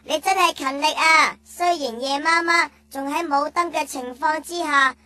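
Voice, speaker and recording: Children's radio drama: playful small child character, bright piping voice, exclamatory and curious. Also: female voice, high-pitched, normal speed. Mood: curious, admiring.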